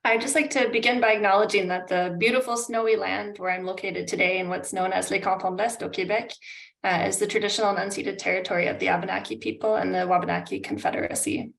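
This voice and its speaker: Canadian woman presenting, slightly gravelly voice. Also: medium pitch, steady tone, fast talker, a bit bright. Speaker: consultant presenting a webinar. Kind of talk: explanatory slide presentation. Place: indoor room on a video call. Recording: roomy video-call audio.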